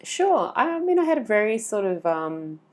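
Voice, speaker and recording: A woman's voice: medium pitch, big pitch swings, moderate pace. An Australian woman in her forties, chatting as an interview guest, rambling with lots of fillers and restarts. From Sydney, Australia. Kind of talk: casual interview.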